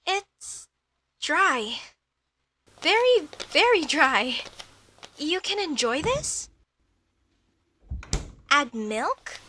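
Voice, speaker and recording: A woman playing a curious, outspoken young female elf mage in a comedic audio roleplay, questioning things in a halting, slightly haughty way. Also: very high-pitched, sharp tone, slight background noise, full character voice. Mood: skeptical.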